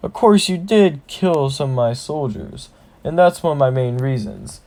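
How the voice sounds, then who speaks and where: A man's voice: mid-range voice, expressive, warm tone, lightly acted. Man voicing a taunting, power-hungry orc shaman boss. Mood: mocking, menacing. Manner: taunting, with drawn-out villainous laughs. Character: large arrogant male orc shaman boss, bloodthirsty, mocking.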